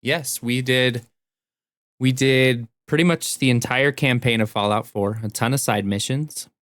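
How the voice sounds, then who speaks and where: A man's voice: medium pitch, unhurried, fairly bright tone, dry recording. A man co-hosting a podcast, talking informally about games in a conversational way, close to the microphone. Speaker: podcast co-host. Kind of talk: casual gaming podcast chat.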